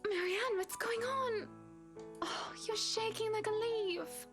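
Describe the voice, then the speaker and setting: A well-to-do wife with a strikingly high voice. She is solicitous and a little fussy, gently chiding as a video game character. Music plays under her lines. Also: slow. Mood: concerned. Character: concerned, fussy well-to-do wife hiring help. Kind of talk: visual novel voice acting.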